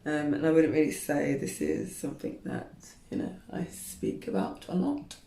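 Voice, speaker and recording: A woman's voice: sits low, sing-song, normal speed, vocal fry, mellow, roomy sound, a little hiss or hum. A woman in her thirties chatting candidly to camera while straightening her hair, rambling with lots of fillers. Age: 30s. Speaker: beauty vlogger.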